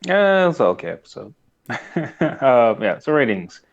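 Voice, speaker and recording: A man's voice: mid-range voice, big pitch swings, unhurried, highs cut off. A man co-hosting a podcast, recapping in casual chat with a hesitant, easily amused delivery. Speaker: podcast co-host.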